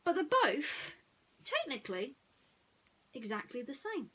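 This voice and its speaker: A woman tells a bedtime story at a very unhurried pace. Her voice sits fairly high and moves with plenty of lilt. She often turns to the listener with a question. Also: wildly swooping pitch, pushed and bright. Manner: addresses listeners with rhetorical questions. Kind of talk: bedtime story narration with chatty introduction.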